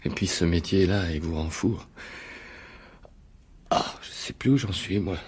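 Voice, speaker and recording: An actor playing an unstable, lovesick stage actor in a radio mystery, husky, brooding and hesitant. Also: male voice, low-pitched, a little slow, a little mellow, full character voice. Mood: brooding confession. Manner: brooding, hesitant, repeating himself. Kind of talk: French radio mystery drama acting.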